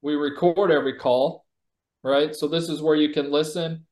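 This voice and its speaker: Man casually demonstrating software. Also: fairly high pitch, steady tone, moderate pace. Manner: informal, explanatory. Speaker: company executive giving a product demo. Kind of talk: casual software demo and explanation.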